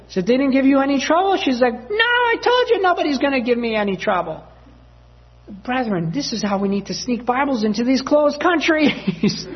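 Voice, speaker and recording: A man's voice: high voice, highs cut off. A man preaching a sermon as a story, acting out family dialogue with voices and laughter.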